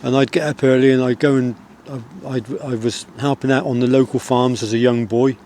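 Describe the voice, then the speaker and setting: A middle-aged man with a Wiltshire country accent, vlogging outdoors in the countryside and reflecting in a soft, rambling way full of pauses. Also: mid-range voice, steady tone, a little hiss or hum. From England. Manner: soft-spoken, rambling, with many pauses and fillers.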